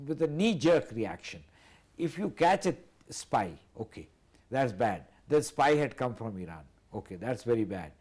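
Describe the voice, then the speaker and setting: Hesitant man, measured. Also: medium pitch, darkish tone. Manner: measured, hesitant with many fillers. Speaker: studio guest analyst. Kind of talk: TV panel discussion.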